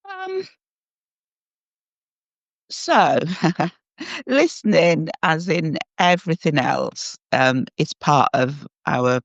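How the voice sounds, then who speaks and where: A woman from Jamaica, a psychotherapist guest, answering in a measured, thoughtful way with pauses and hesitations. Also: low voice, animated, no echo, highs cut off. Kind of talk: reflective interview answer.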